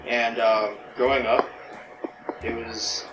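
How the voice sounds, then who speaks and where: A man's voice: medium pitch, level tone, slow pace. A man speaking casually in a personal interview, rambling with frequent "right?" and fillers. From United States. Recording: distant mic in noisy echoey room.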